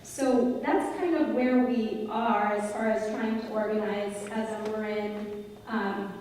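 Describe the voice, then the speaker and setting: A woman's voice: medium pitch, fairly even intonation, takes it slowly, darkish tone, a little hiss or hum. A young woman moderating a congregation Q&A in a large synagogue sanctuary, explaining things earnestly with many ums on a handheld mic. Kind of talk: unscripted, explaining to an audience. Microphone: handheld mic, filmed by a far camera. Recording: echoey hall from far off.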